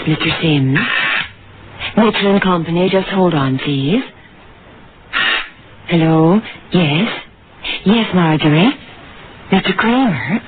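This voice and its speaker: An actress playing a chatty, obliging young switchboard girl in a 1950s radio drama. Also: low-pitched. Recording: very noisy, tinny vintage radio broadcast.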